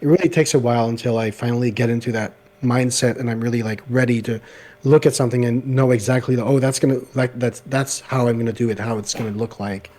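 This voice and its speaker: A man whose first language is French, chatting casually about making videos; his voice is slightly gravelly, he hesitates and fills with "like", and he is picked up on a laptop microphone. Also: medium pitch, steady tone, fast, darkish tone. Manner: hesitant, many "like" fillers. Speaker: guest video creator. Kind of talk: casual podcast chat.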